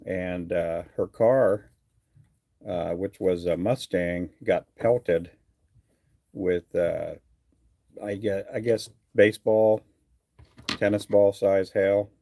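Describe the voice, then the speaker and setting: A middle-aged man from Texas talks through viewer comments in a loose, unhurried ramble, stopping often on little fillers. His voice sits fairly low, with a gravelly edge and a dark, mellow tone. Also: fairly even intonation, no room sound, clean studio sound. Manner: easygoing, rambling with many uh fillers. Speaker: fishing livestream host. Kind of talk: casual live chat reading viewer comments.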